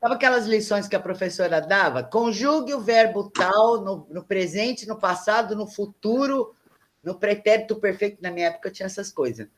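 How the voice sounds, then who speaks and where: A woman's voice: medium pitch, normal speed, slight background noise. Middle-aged woman, student, talkative and self-deprecating, mid range. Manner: chatty, self-mocking, laughs at herself. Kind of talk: casual class chat.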